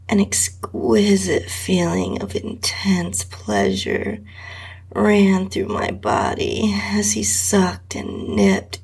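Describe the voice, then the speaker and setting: Very slow, breathy woman narrator. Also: mid-range voice, a little mellow. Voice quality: breathy, often dropping to a whisper. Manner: sultry, lingering. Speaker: podcast host reading own story. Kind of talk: slow, intimate erotica narration in the first person.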